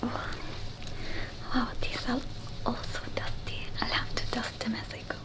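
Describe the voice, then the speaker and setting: A woman's voice: lightly acted, rough recording. A woman as a whispering cyberpunk repair technician. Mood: reassuring. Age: young adult. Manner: slow, gentle, soft-spoken. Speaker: ASMR performer. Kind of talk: whispered ASMR roleplay. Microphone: close ASMR microphone.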